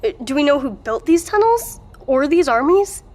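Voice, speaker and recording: A high-pitched female voice from an actress in an audio drama. She plays a worried skeptic, earnest and questioning, quick to argue her point. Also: very animated, darkish tone. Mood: anxious, questioning. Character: skeptical, worried woman pressing for answers.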